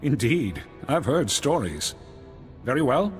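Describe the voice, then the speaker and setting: Formal, expressive master in reverberant hall. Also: male voice, medium pitch. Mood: reserved, skeptical. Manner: formal, measured. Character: stern, reserved male master of a mystic knightly order. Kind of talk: video game character acting. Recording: long hall reverb, music behind.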